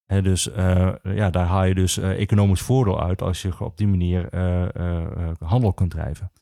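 A man the explains history informally as an expert guest, halting and repeating himself as he searches for words. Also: deep voice, flat delivery, gravelly, mellow, no echo, clean studio sound. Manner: hesitant, halting, with many pauses and repetitions. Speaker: archivist, podcast guest.

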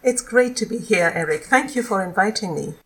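A middle-aged woman with a Nigerian accent, a law lecturer explaining her work in a measured, articulate way as a podcast guest. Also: medium pitch, lively intonation, fairly bright tone. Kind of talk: podcast interview.

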